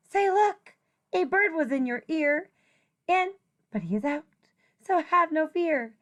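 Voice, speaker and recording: A woman teacher reading a children's rhyming picture book aloud in an expressive, deliberate, singsong way. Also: high-pitched.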